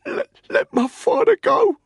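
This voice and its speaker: A man voicing a badly wounded, terrified prisoner in an audiobook thriller, breathy and cracking with pain. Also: very high-pitched, big pitch swings, a little slow, no room sound, clean studio sound, voice pushed up, exaggerated voice. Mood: pleading.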